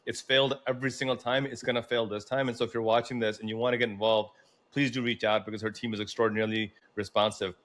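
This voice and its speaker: American guy hosting a political show, medium pitch, opinionated and emphatic. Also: level tone, fast talker, a bit bright. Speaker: host. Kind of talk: political interview and advocacy commentary.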